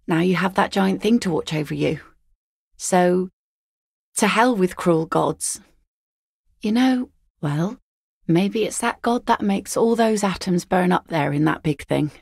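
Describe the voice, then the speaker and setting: A woman voicing an easygoing, philosophical seafaring mother in a video game, with a wry, gently teasing, folksy manner. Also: lowish voice, creaky, slightly rough, no echo, studio quality, exaggerated voice. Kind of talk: naturalistic video game dialogue acting.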